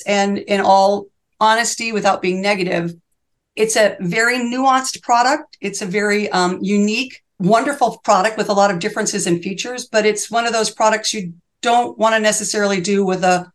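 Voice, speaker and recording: A woman in her sixties, a reverse mortgage specialist guesting on an interview show, talking in earnest, fervent, run-on sentences that she often restarts. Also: medium pitch. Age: 60s. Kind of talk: interview conversation.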